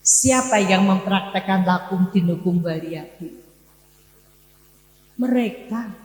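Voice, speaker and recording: A woman in her sixties with a Javanese accent, preaching with personal stories in an emphatic, deliberate way, with pauses and repeated phrases. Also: mid-range voice. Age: 60s. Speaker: Islamic preacher giving a morning study lecture. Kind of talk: sermon with personal storytelling. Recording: echoey hall with long reverb.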